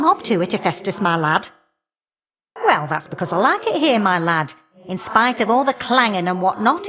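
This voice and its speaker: Elder fire goddess, video game character, folksy, sassy, teasing, very animated intonation. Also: female voice, mid-range voice. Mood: wry, chummy.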